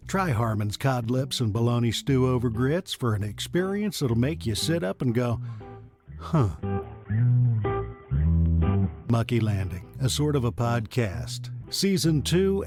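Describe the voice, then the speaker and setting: Man playing a folksy, wry, animated small-town storekeeper. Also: mid-range voice, measured pace, over music. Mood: wry narration. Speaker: lead character and narrator of a comedy podcast. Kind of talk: comedic audio drama acting and narration.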